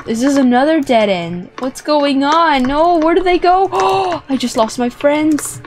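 A young woman giving playful, excitable, dramatic commentary as she plays a video game. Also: high-pitched, measured pace. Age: young adult. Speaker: gaming video host. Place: indoor room with plush toys. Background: music.

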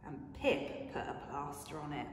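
A young woman who reads and talks to small children as an early years educator, with expressive, drawn-out emphasis. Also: sits low, slight background noise. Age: young adult. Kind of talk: children's story reading and quiz. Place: carpeted nursery classroom, indoors. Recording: echoey room with long reverb.

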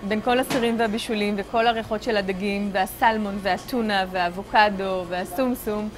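Brisk woman narrator over music. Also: medium pitch, fairly even intonation. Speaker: interviewer and narrator. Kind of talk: documentary voice-over.

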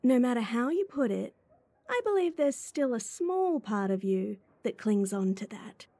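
A woman's voice: on the high side, sing-song, unhurried, dark tone, no room sound, full character voice. A woman voice actor playing a playful, teasing, clingy schoolgirl childhood friend in a chatty ASMR roleplay. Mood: fond teasing.

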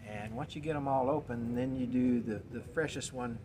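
A man's voice: medium pitch, moderate pace. Plain-spoken older man, noisy room. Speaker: guest explaining a home method. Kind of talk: casual how-to explanation. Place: indoors in a cluttered shop. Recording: noisy room with background ambience.

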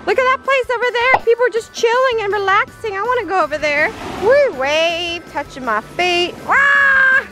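A woman in her thirties vlogging excitedly on an outdoor beach, squealing, giggling and whooping often. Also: very high voice, normal speed, few pauses. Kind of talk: excited travel vlog. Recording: loud background music.

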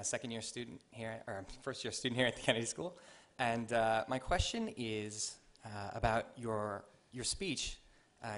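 A man in his twenties, a student putting a question from the audience in a halting, polite way. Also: mid-range voice, fairly bright tone. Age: 20s. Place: auditorium audience area, indoors. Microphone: standing audience microphone.

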